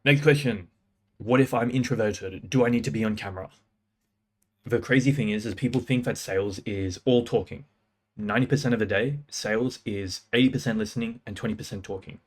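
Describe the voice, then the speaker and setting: A man's voice: on the low side, brisk. A young man giving casual, confident sales-career advice in a punchy, slangy way. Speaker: music producer and sales coach. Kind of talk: casual talking-head advice.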